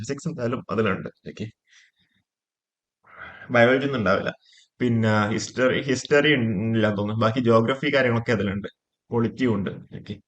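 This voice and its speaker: A man's voice: mid-range voice, fairly bright tone, dull audio. A man with a Malayali accent presenting an informal coaching explainer to viewers, mixing in English terms and repeating stock phrases.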